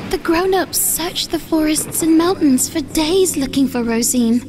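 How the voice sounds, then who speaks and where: A woman voicing a wistful, earnest young village girl who tells a sad tale in a soft, sorrowful way for a comic dub. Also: sits high, lively intonation, a little slow, soft background music. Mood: somber. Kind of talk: dramatic comic dub narration.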